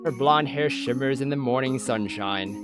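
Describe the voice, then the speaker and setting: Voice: mid-range voice, normal speed. A let's play host of unclear sex, reading a visual novel aloud with casual, musing commentary. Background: game music.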